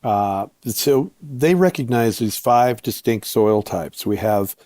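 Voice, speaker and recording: A man being interviewed as a guest, explaining his work step by step in short, measured phrases. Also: medium pitch, expressive, darkish tone, dry recording. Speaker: interview guest, winery owner and viticulturist.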